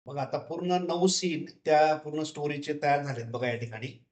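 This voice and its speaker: Male tutorial presenter, fairly high voice, instructional, repetitive, off-the-cuff software walkthrough. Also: normal speed. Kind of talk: step-by-step software tutorial, unscripted explanation.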